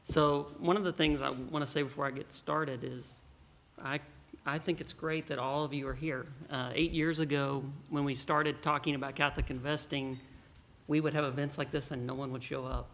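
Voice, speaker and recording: A man's voice: a little high, moderate pace, a little hiss or hum. A man from Texas giving a conference talk, joking and telling stories. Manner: joking, storytelling, lively. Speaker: panel speaker. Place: conference hall.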